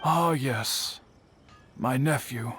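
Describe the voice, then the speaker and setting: An actor playing an earnest, dignified master swordsmith and worried uncle in a video game, speaking formally and deliberately. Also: male voice, medium pitch, fairly bright tone, slight background noise. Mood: troubled, resigned. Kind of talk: scripted video game dialogue acting.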